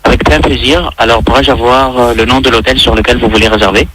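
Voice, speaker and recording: A man's voice: medium pitch, steady tone, fast talker, noisy background. A man working as a hotel booking agent, speaking formally and patiently on a customer service call.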